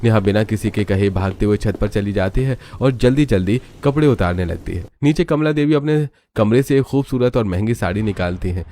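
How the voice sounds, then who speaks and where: A man's voice: lowish voice, fairly quick, hardly any pauses, mellow. A man narrating a scripted family cartoon story.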